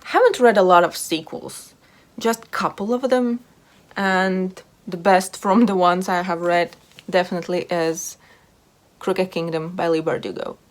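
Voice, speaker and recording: Woman, lower-pitched voice, casual book vlogger, informal delivery that leans on repeated words. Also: fairly even intonation, unhurried. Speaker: book reviewer video host. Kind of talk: casual book chat to camera.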